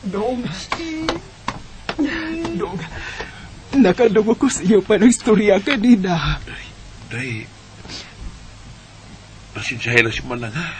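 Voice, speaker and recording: Man playing a gentle older neighbor. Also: high-pitched, expressive, slow pace, long pauses, slight background noise. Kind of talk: Bisaya radio drama acting.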